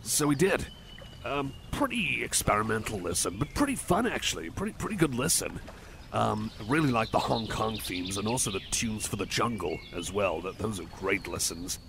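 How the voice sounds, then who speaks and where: A man plays a shy cyborg pal with a gravelly, crackling edge to his voice. He rambles in hesitant bursts like a kindly game-music nerd in an ASMR roleplay, with clear outdoor noise and birdsong around him. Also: on the low side, very animated. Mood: enthusiastic, rambling. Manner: hesitant, halting, rambling, apologetic. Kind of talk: roleplay ASMR, casual one-sided chat. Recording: noisy outdoor ambience with birdsong.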